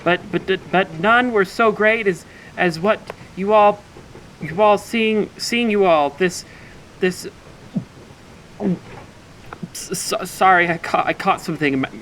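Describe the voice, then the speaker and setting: A man acts out an awkward traveler giving a speech in an audio drama. His voice sits a little high and he stumbles and repeats himself with stiff formality. There is light ambient noise on the recording. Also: a bit bright, low-quality recording. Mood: flustered, awkward. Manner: halting, stammering, throat-clearing formality. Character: nervous young traveler stammering through a speech. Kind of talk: audio fiction acting.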